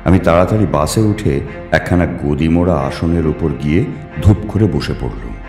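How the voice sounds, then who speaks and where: A man reading a ghost story in the first person, theatrically, with suspenseful, deliberate phrasing. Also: rumbling low, lively intonation. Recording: echoing reverb, music underneath. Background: music.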